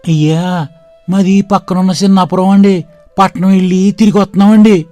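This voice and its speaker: A woman's voice: medium pitch, fairly even intonation, normal speed, dark tone, soft background music, lightly acted. A woman voicing a sly, scheming male thief. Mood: fawning.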